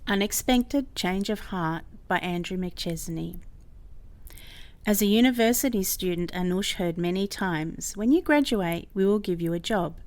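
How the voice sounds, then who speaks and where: Story reader: woman with a mid-range voice, narrating from a script in clear storytelling style. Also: mid-range voice, unhurried, darkish tone.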